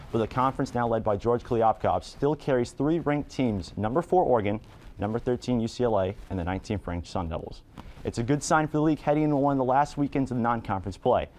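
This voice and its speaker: A man reads a scripted sports report with polished, formal delivery. He talks quickly in a mid-pitched voice, with faint noise behind him. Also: darkish tone.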